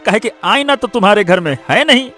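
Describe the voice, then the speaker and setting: Jeering high-voiced village bully. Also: male voice, animated, pushed and bright, soft background music. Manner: taunting, drawn-out sarcastic drawl with rustic turns. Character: mocking, bullying rich village man, jeering and haughty. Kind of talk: animated story voice acting.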